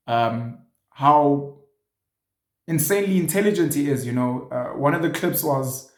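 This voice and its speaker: South African man talking in an echoey room. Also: on the high side. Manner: earnest, reflective, with many fillers. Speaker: show host. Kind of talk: casual monologue to camera.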